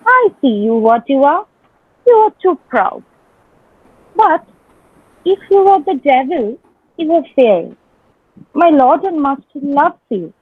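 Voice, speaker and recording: Woman as a very high-pitched, ardent young envoy. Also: very animated, dry recording, full character voice. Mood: admiring, frank. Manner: declamatory, drawn-out, ardent. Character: ardent young gentleman envoy courting a lady. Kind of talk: dramatic reading of Shakespearean comedy. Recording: thin phone-like band, dry sound.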